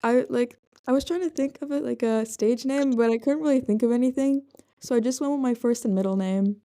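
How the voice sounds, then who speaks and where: Young woman, late teens, from the netherlands, shy and hesitant, slightly high mellow voice, laptop mic. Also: fairly quick. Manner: shy, hesitant, lots of ums. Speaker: guest singer-songwriter. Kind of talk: interview answers. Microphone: laptop built-in mic.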